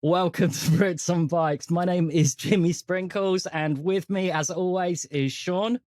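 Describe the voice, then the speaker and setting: A man's voice: high voice, steady tone, measured pace, darkish tone, dry recording. A man hosting a tabletop role-playing podcast in casual chat, with a jokey, teasing manner. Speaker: host and game master of a role-playing podcast. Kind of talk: casual chat introducing and recapping a game.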